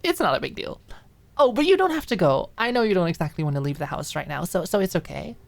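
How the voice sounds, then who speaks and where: A woman voicing a bubbly, eager, affectionate young woman in an audiobook drama, reassuring and tripping over her words in excitement. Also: on the low side, fast talker, vocal fry, voice pushed up. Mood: excited, bashful.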